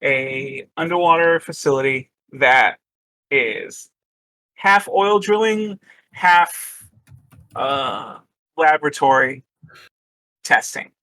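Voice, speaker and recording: A man's voice: mid-range voice, slow, long pauses, sharp tone, no room sound, low-quality recording. A man running a tabletop horror game, setting the scene in a loose, rambling way full of fillers. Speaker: game master. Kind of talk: casual tabletop game narration.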